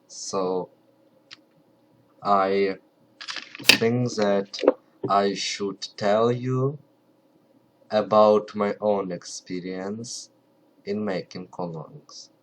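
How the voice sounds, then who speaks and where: A low-pitched man with a Ukrainian accent talks to camera in English, his second language, at a very slow, careful pace. He keeps his voice soft and often stops to search for words. A faint background noise sits under the recording. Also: steady tone. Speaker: video creator. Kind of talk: casual talk to camera.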